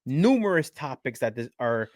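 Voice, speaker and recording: A man from Queens with a New York accent, co-hosting a casual sports podcast in an animated, slangy way, often repeating phrases for emphasis. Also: medium pitch, moderate pace, dry recording, clean studio sound. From Queens, New York City. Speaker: podcast co-host.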